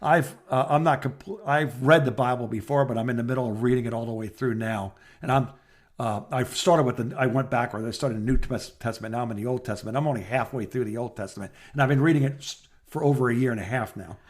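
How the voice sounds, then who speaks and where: A man chatting casually as a podcast co-host, rambling and halting as he searches for his words. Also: mid-range voice. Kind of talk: casual chat.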